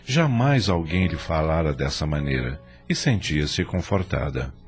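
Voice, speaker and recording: Deep-voiced expressive male narrator. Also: measured pace. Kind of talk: scripted dramatic narration.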